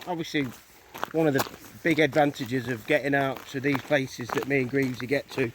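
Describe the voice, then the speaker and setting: A man's voice: mid-range voice, steady tone, fairly quick. A man with an English accent co-hosting a walking vlog, talking casually outdoors. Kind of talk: casual chat. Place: outdoors on a country lane. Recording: outdoor phone recording with clear background noise.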